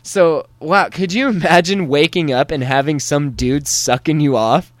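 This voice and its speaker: A man's voice: a little high, normal speed, no room sound. A man hosting a podcast, reading news stories and riffing on them with crude, irreverent jokes and frequent laughter. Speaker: podcast host.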